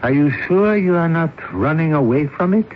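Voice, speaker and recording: An actor playing a coolly authoritative male doctor who questions in a deliberate, pointed way, in a 1970s radio drama. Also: a little high, lively intonation, natural voice. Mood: probing, insistent. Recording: muffled, noisy old radio broadcast.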